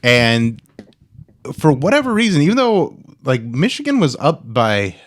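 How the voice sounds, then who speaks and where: A man co-hosting a podcast in casual sports chat, making his case in a halting way with repeated words. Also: medium pitch, huge pitch swings. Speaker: podcast co-host.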